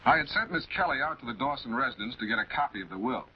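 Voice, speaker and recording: Crime-drama prosecutor male actor, American, commanding and animated, noisy vintage film audio. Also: medium pitch, racing, lightly acted. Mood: matter-of-fact. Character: brisk, authoritative male public prosecutor. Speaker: actor playing the lead prosecutor. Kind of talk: 1940s television crime drama acting. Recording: muffled, noisy old 1940s film soundtrack.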